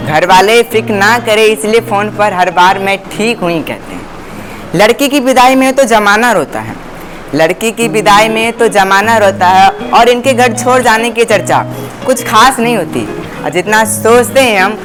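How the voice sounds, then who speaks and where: A woman reciting a poem in a measured, earnest manner. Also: medium pitch, expressive. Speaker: contestant reciting poetry. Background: music.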